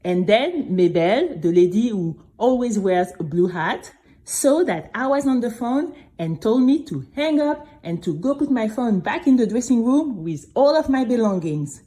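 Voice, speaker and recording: Resilient young heroine-narrator, played by a woman, audio drama: high, slow-paced, earnest and expressive. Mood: earnest, confiding. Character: earnest, resilient young female singing contestant telling her story. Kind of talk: scripted dramatic first-person storytelling.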